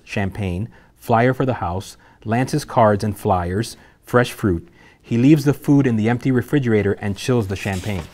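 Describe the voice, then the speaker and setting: A man's voice: medium pitch, a little mellow. A man reading scene headings and action lines aloud as the narrator at a screenplay table read.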